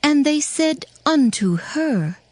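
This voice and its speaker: A woman reading scripted scripture narration in a formal, measured storytelling manner. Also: sits high, very animated, no echo.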